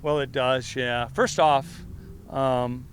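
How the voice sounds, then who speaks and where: A middle-aged football coach with a loud voice fields questions at a media session. He rambles and restarts, leaning on fillers, his pitch sitting mid-range for a man. A faint hiss runs under the recording. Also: fairly even intonation, takes it slowly. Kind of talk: press conference answers.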